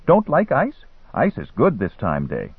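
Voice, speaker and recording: A man's voice: mid-range voice, huge pitch swings, unhurried, breathy edge, dry recording, narrow, tinny audio, pitched above the performer's own voice, full character voice. A man voicing a persistent teenage boy hustler in a story, eager and wheedling in broken English. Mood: wheedling, insistent.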